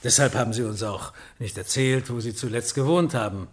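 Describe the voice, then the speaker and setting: An actor playing an impatient, sceptical police inspector who questions probingly in a radio drama. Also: male voice, mid-range voice, a little fast, natural voice.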